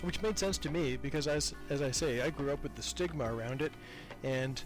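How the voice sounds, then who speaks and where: A man's voice: medium pitch, fairly quick, over music. An older man chatting casually as a podcast guest, talkative and self-deprecating. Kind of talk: casual interview chat.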